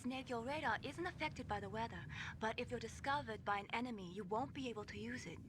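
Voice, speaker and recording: An earnest young mission technician from a video game, voiced by an actress. Her fairly high voice explains things carefully and helpfully, with clear rumbling noise in the recording. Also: fast talker, lightly acted. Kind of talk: scripted video game voice acting. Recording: clearly noisy game audio with low rumble.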